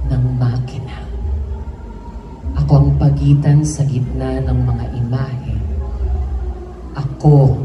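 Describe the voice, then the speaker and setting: A woman performs a young man who believes he is film, speaking gently and haltingly as if in a trance. The voice is low and slow, in a staged reading. It sounds distant in an echoey room. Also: muffled recording, exaggerated voice.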